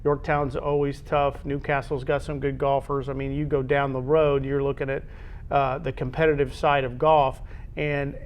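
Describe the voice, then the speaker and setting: A man's voice: a little high, dull audio, slight background noise. An American man co-hosting a casual discussion about sports. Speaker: co-host.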